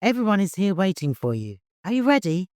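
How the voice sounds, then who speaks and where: A man voicing a bossy, motherly older seamstress in an audiobook, teasing and good-humored, brisk and respectful but firm. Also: high voice, very animated, darkish tone, no echo, studio quality, pitched above the performer's own voice. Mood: gentle.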